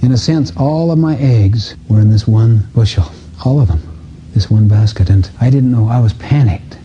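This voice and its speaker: A man's voice: medium pitch, moderate pace, warm tone, a little hiss or hum. A man giving a motivational seminar lecture, telling anecdotes in an earnest, emphatic way. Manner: earnest, emphatic, storytelling delivery. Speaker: seminar speaker. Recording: dull muffled lo-fi audio.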